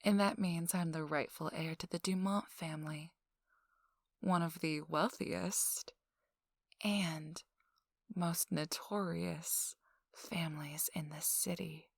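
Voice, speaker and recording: Woman playing a slow, sultry, teasing socialite. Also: on the low side, steady tone, creaky, dry recording, studio quality, exaggerated voice. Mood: smug, proud.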